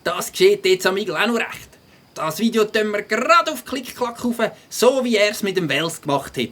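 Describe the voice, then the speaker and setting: A woman's voice: medium pitch, animated, moderate pace, a bit bright. A woman voicing a cheeky, blunt young schoolkid in a children's audio drama, exclaiming eagerly with a Swiss German accent. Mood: gloating, insistent.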